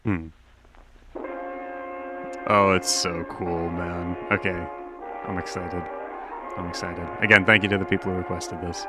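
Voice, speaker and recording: A man with a deep voice hosts a reaction video in a casual, laid-back way. He muses aloud over clearly audible music. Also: flat delivery, measured pace, pauses often, low-quality recording. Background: music clearly behind the voice.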